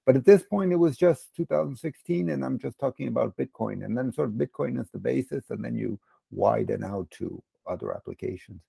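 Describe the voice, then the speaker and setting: Gravelly middle-aged man explaining casually. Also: mid-range voice, expressive, fairly quick, warm tone, no room sound. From United States. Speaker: podcast guest, crypto educator and former bond trader. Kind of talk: casual explanatory interview talk.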